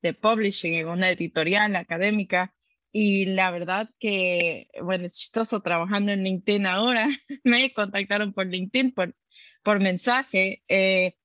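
A woman guest talks about her roots and work in an upbeat, lively way, laughing often. Her voice is bright and sharp. The sound is dry, with no room echo. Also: medium pitch, unhurried, muffled recording. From born in the United States, raised in the Dominican Republic, Ecuadorian family. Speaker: tech worker, podcast guest. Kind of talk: conversational interview.

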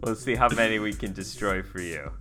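A man's voice: on the low side, a little fast, over music. A man who performs on a show, trading casual comic banter in a playful, teasing, mock-sincere way. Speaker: regular cast member of the show.

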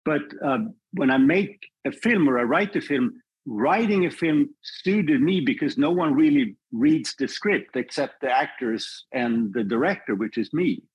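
Husky-voiced man speaking thoughtfully. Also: medium pitch, rough recording. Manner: thoughtful, measured, with frequent restarts. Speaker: filmmaker guest. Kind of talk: reflective podcast conversation.

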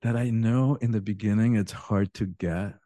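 A man's voice: on the low side, steady tone, normal speed, dark tone, no room sound. A man born in Cuba, an author talking as a podcast guest, earnest, often repeating words as he begins a thought. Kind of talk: casual interview talk.

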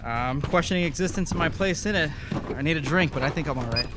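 A man's voice: fairly high pitch, fast, heavy background noise, close to an everyday voice. An actor playing a wry, trigger-happy scientist crewman in a sci-fi audio drama, with a sardonic manner. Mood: shaken, sardonic.

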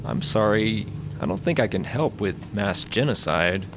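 A man's voice: fairly low pitch, expressive, unhurried, background noise. A man playing a hesitant, easily swayed, sheepish squad-car partner in a comic audio drama. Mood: awkwardly reluctant.

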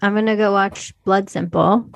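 Female co-host, medium pitch, casual chat, informal and giggly with a self-deprecating streak. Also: steady tone, normal speed, gravelly. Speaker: podcast co-host.

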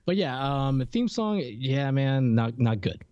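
A man co-hosting a podcast, giving his opinions in a casual, earnest and slightly halting way. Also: mid-range voice, very animated, a little mellow, dry recording, lo-fi sound. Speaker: podcast co-host. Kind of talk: casual review chat.

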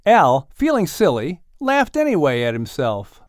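A man narrating a children's audiobook in an expressive storytelling manner. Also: fairly high pitch, measured pace, no room sound, clean studio sound.

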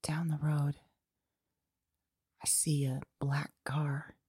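A woman playing a frightened, anguished woman awaiting arrest in an audio drama, speaking haltingly and often dropping into breathy whispers. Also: sits low, little pitch movement, dark tone, dry recording, clean studio sound, exaggerated voice. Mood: hushed despair.

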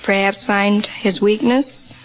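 A woman's voice: medium pitch, fairly even intonation, takes it slowly. An American woman in her forties from Buffalo, a quiz show contestant giving polite interview answers. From Buffalo, New York, USA. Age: 40s. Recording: dull vintage radio recording, loud noise.

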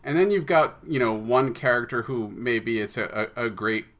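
Male podcast guest, writer type. Bright, forward voice, analytical and conversational delivery. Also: mid-range voice, moderate pace. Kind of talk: conversational interview.

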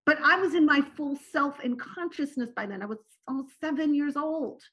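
A woman's voice: high-pitched, normal speed. A woman whose first language is Turkish, telling personal stories as a guest and often adding "right?" to her sentences. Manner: animated, tags phrases with "right?". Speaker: podcast guest. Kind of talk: personal storytelling in conversation.

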